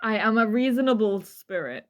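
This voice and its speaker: A woman voicing a boastful murderous male spirit from hell, reading his letter in a slow, theatrical, menacing way. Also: on the high side, lively intonation, muffled recording, close to an everyday voice. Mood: haughty. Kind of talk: over-the-top dramatic letter reading.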